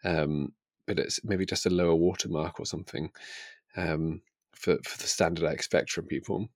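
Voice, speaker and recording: A man's voice: low-pitched, steady tone, moderate pace, no room sound, studio quality. A man co-hosting a casual book discussion, hesitant and wry as he questions his own thinking. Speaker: podcast co-host.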